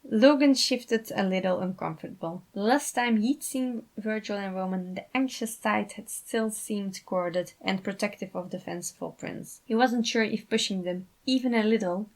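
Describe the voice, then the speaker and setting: A woman's voice: medium pitch, moderate pace, few pauses, poor audio. A woman reading fan fiction aloud as scripted story narration. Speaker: fan fiction author and reader.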